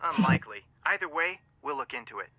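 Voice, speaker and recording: A man's voice: on the high side, lively intonation, brisk, sharp tone, dry recording, exaggerated voice. An actor playing a dry, level-headed male detective, the straight man of a pair in a video game, heard through a phone filter. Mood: dry, serious.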